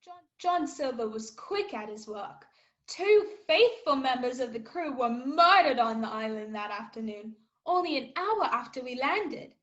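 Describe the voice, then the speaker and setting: A woman's voice: high-pitched, expressive, measured pace, a bit bright, highs cut off. A woman playing a young cabin boy who narrates his adventure earnestly and dramatically in a radio play. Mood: tense, grave.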